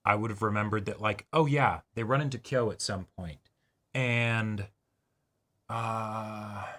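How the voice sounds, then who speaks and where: A man with a fairly low voice talks casually as co-host of a reaction show. He is reflective and halting, piecing thoughts together and often checking in with his listener. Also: steady tone, a bit bright. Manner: halting, reflective, checks in with "right" and "you know".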